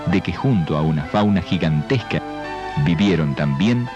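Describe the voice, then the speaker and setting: A man reading scripted documentary narration in a formal, measured way. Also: deep voice, expressive, muffled recording, music in the background. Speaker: documentary presenter.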